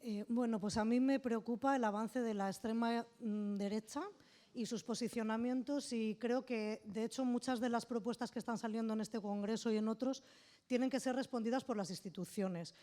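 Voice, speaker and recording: A woman's voice: on the high side, steady tone, moderate pace, mellow. A woman from Madrid giving earnest, emphatic political remarks from the floor of an assembly in a conference hall. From Madrid, Spain. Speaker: assembly participant.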